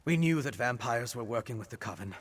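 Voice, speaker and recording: A man's voice: mid-range voice, animated, fairly quick, breathy edge. A man playing a genial, curious scholar-adventurer in a fantasy video game, theatrical and courteous in delivery. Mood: intrigued, pondering. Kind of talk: scripted video game dialogue.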